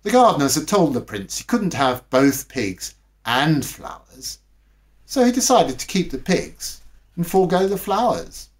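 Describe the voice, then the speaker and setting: A man reading a scripted bedtime story as narrator, with expressive, dramatic delivery. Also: fairly high pitch, measured pace. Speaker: storybook reader.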